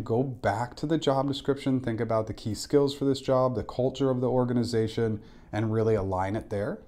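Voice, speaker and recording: A man's voice: medium pitch, mellow. A man in his forties giving instructional advice to camera as an interview coach, direct and emphatic on key points. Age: 40s. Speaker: interview coach and former recruiter. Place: small indoor room.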